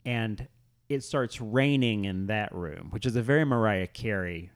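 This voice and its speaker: A man's voice: on the low side, unhurried. Casual, conversational male podcast host. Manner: conversational, peppered with "like". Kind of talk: casual comedic chat recapping a music video.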